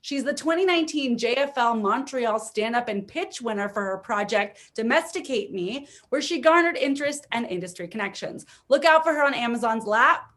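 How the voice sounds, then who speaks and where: High-voiced, enthusiastic woman emcee. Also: animated, moderate pace, few pauses, fairly bright tone. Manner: enthusiastic, informal. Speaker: comedy show host. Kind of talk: upbeat emcee introductions. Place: online video event.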